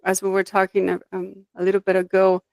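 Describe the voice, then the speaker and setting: A woman's voice: medium pitch, completely flat delivery, brisk, gravelly, a little mellow, dry recording. Reflective woman from Mexico, dry sound. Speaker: guest, life design coach. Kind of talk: conversational interview, personal storytelling.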